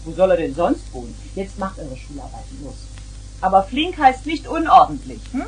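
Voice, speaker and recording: An actress playing a brisk, chiding mother in a 1970s German children's radio play. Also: on the low side, huge pitch swings, a little mellow, rough recording. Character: brisk, fussing mother of young daughters. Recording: faint hiss on old record.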